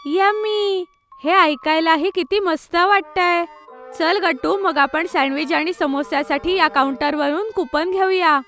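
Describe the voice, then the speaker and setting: Bubbly high-pitched little schoolgirl. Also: unhurried, fairly bright tone, soft background music. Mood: delighted. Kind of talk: children's cartoon voice acting.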